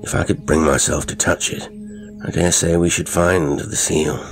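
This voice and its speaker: A man voicing a gruff, impatient older country squire. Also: deep voice, level tone, moderate pace, soft background music.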